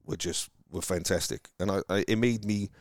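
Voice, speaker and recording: A man from Wales with a Welsh accent, chatting casually about his sales career in a halting, rambling way, with many restarts. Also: low voice, dry recording. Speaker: car salesman guest. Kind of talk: casual conversation.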